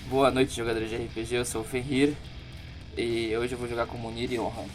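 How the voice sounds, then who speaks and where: A man's voice: medium pitch, flat delivery, brisk, faint music behind, rough recording. A man, a role-playing player, presenting his character to listeners.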